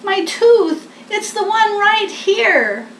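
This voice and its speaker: A worried, childlike bear from a picture book, acted out by a woman reader. The voice is very high and plaintive, fretting slowly in an echoey room, a little away from the mic. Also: big pitch swings, a bit bright, full character voice. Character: worried bear fretting over a loose tooth. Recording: echoey room, distant mic, faint noise.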